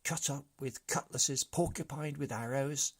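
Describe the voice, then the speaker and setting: A man's voice: mid-range voice, a little slow, voice pushed up. A man voicing a young captive boy in a storybook, earnestly improvising a tall tale. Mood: dramatic. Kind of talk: storybook character voice.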